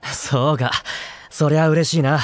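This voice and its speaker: A man's voice: a little high, fairly even intonation, a little slow, no room sound, natural voice. A man playing an easygoing, kindly young man, obliviously content, in a Japanese anime audio drama. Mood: content, curious. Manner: casual, easygoing.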